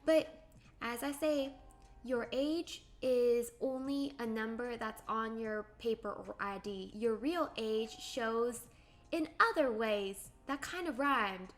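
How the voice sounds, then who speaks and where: A woman's voice: on the high side, takes it slowly, faint music behind. Bubbly young woman chatting on a livestream. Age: late 20s. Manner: bubbly, chatty, reads viewer comments aloud and squeals with excitement. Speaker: livestream host. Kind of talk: casual chat while drawing on stream.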